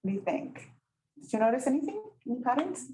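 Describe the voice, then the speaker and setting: A woman whose first language is Spanish runs a community workshop with a fairly high voice. Her pitch jumps around a lot as she talks. She chats with the group and keeps asking whether they follow. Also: sing-song, fast, dark tone, poor audio. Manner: chatty, often asks "right?" to check in. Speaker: workshop facilitator. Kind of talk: interactive workshop teaching. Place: meeting room, with some people online.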